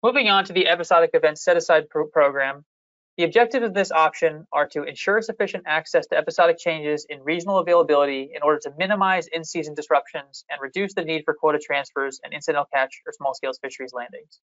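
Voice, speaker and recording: A fishery management staff member giving a measured, methodical walkthrough of policy options in a public hearing slide presentation. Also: medium pitch, fairly bright tone, no echo, muffled recording.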